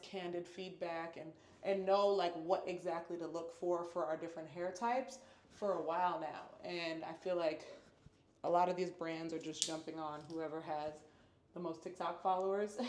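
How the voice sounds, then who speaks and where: Chatty low-voiced woman in an echoey room. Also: a little mellow. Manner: chatty, upbeat, repeats words for emphasis. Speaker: natural hair YouTuber. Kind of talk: casual product review vlog. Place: at home.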